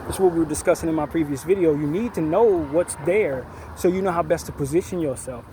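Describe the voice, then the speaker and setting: A man giving a measured, deliberate opinion monologue as an online commentator, stressing words emphatically and often restarting his sentences. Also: a little high, warm tone, a little hiss or hum.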